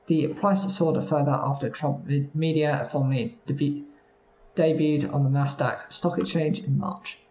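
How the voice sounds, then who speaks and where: Guy reading news articles, bit high voice, steady, echoey room. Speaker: news reader.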